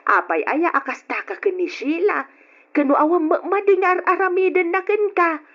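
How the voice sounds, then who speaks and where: High, scolding Filipino woman friend. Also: moderate pace. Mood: reproving. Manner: scolding, emphatic, blunt. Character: outspoken female friend, exasperated, blunt voice of reason. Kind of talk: radio drama acting. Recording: dull, lo-fi old radio drama.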